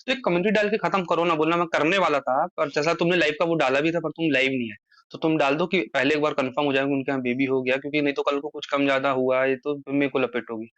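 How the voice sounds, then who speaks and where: Fast-talking man on a muffled phone call. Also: on the high side, steady tone, a bit bright, dry recording. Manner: earnest, explaining at length, rising emphasis. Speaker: social media creator on a phone call. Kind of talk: casual phone conversation.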